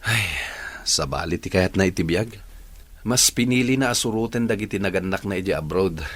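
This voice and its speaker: An actor playing a devoted, long-suffering young boyfriend in a radio drama, earnest and yielding, with an Ilocano accent. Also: male voice, low voice, moderate pace, no room sound.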